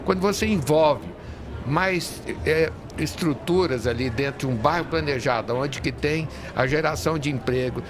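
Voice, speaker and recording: Halting man over clear background noise. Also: fairly high pitch. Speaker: real estate industry leader, guest. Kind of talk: interview conversation. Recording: noisy venue with urban ambience. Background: clear ambient noise of a busy fair.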